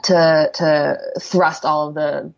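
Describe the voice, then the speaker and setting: Woman, Korean first language, low voice, gravelly, dull lo-fi sound, casual chat. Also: level tone, slow. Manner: chatty, many "like" fillers, restarts, laughs easily. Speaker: poet, guest. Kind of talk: casual conversation.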